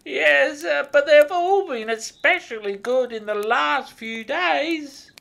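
A tiny elderly elf from a children's storybook. The voice sits very high, and he sounds fussy and anxious, hesitating as he goes. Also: slow pace, gravelly, bright, forceful tone, heavily acted. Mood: apologetic. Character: little old male elf, anxious helper.

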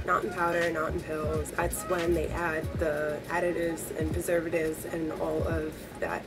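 A young woman with a voice hoarse from a cold, chatting upbeat to camera from a small bedroom. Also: lowish voice, rough recording. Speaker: beauty vlogger. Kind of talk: casual talk to camera.

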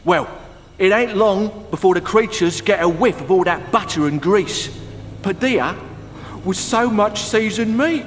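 Streetwise jail gossip, slightly high voice, testy storyteller, game, big echoey reverb. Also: male voice, unhurried, fairly bright tone, a little hiss or hum. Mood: testy, relishing. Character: gossipy, irritable male prison inmate spinning grisly tales. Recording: long echoing reverb, faint music.

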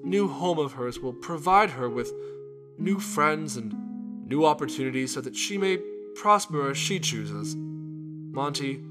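A man's voice: on the high side, animated, unhurried, over music. A man voicing a suave, scheming immortal god in a cartoon, with a polite salesman's delivery. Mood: grave, weary. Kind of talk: animated web series dialogue.

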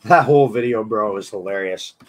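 Hoarse young man hosting a radio chat. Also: fairly low pitch. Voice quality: husky. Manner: laid-back, swearing, quick laughs. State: hoarse from illness. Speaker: radio show host. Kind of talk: casual online radio interview. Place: small dim room with colored lights.

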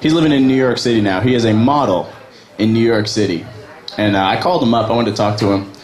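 A man's voice: on the low side, fast talker. Young male stand-up, Virginia, crude and self-deprecating, echoey bar room. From Virginia, USA. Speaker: stand-up comic. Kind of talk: open mic stand-up set. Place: small bar open mic stage. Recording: echoey bar with background noise.

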